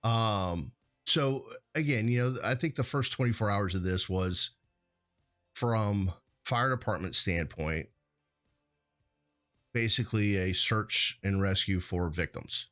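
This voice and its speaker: Low-voiced American man, informal talk show host. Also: slow, fairly bright tone, faint music behind. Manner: informal, rambling, peppered with "you know". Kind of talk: casual conversational discussion.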